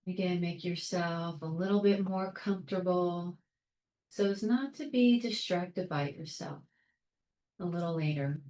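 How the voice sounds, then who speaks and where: A woman leading a guided meditation in a calm, soothing, measured way, leaving long pauses between short phrases. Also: fairly low pitch, echoey room, rough recording. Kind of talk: guided meditation, soft instruction.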